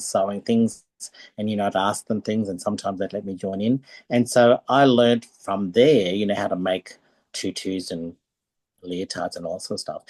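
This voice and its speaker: An older Australian man with a low, husky voice, recorded close and dry. He talks as an interview guest, reminiscing in an anecdotal, conversational way about a performing life. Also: a little mellow, no room sound.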